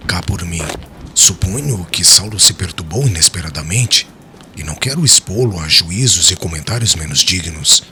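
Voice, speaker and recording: An actor playing a cold, reserved, self-important gentleman with formal, pompous diction in a dramatized audiobook. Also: sits low, expressive, moderate pace, slight background noise, full character voice. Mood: cold, astonished. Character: Sadok, cold, reserved, self-important male friend turning someone away.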